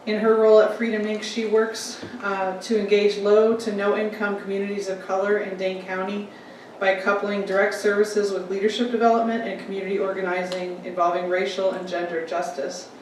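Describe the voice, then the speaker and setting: A woman reads panelist introductions to a seated audience, stopping often on "um" and "uh." Her pitch stays flat and even. She sounds distant from the microphone in an echoing room with faint background noise. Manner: halting, many ums and restarts. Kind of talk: formal introductions. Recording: echoey room from a distant mic.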